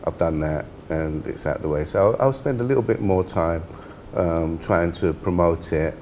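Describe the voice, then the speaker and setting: A man's voice: deep voice, normal speed, darkish tone, slight background noise. A middle-aged man, an author, answering interview questions hesitantly, often repeating words before he finishes.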